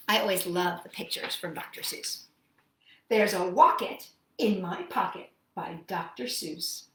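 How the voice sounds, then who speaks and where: A woman hosting children's storytime, reading picture books aloud and chatting to young listeners in a playful, expressive, sing-song manner. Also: on the low side, unhurried, roomy sound, poor audio.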